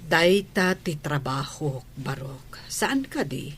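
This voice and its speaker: A woman's voice: sits low, big pitch swings, slow, a bit bright, slight background noise, exaggerated voice. A woman with a Filipino accent playing a devoted, motherly household nanny in a radio drama. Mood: affectionate.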